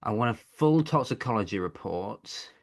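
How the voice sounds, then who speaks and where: An actor playing a brusque, sceptical police detective in a stage play, speaking in a blunt, probing way. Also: male voice, on the low side, expressive, moderate pace, creaky.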